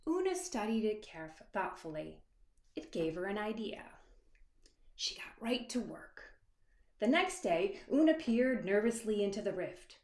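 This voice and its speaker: A woman author reading a children's picture book aloud expressively, with dramatic hushed moments and asides to young listeners. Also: mid-range voice, very animated. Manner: dramatic, dropping to hushed asides and stretched words; pauses to address young listeners with questions. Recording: echoey, reverberant room.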